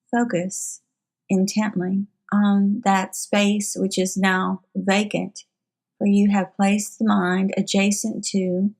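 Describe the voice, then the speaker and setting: Slow, even-toned woman leading meditation. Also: mid-range voice, gravelly, low-quality recording. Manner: formal, solemn phrasing with frequent pauses. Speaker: guided meditation leader. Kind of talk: guided meditation.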